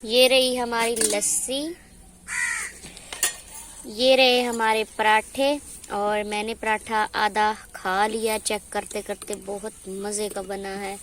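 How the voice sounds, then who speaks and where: A woman's voice: mid-range voice, flat delivery, slow pace, a bit bright, a little hiss or hum. A woman with a Pakistani Urdu accent, chatting cheerfully to viewers in a casual cooking vlog while she has a cold. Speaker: vlogger.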